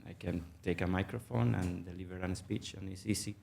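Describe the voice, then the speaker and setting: Low-voiced venezuelan guy, spanish accent, lots of uh and restarts, chatty interview. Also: fairly even intonation, vocal fry, a little mellow. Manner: halting, many fillers and restarts. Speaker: guest, mergers and acquisitions manager. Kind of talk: casual interview.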